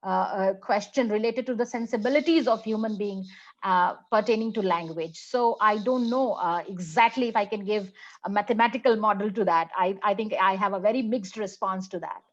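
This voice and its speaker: A woman with an Indian English accent, whose first language is Hindi, speaking as a conference speaker in a reflective, halting way full of fillers and restarts. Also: medium pitch, highs cut off. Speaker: plenary speaker, English professor. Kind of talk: conference talk answering a question.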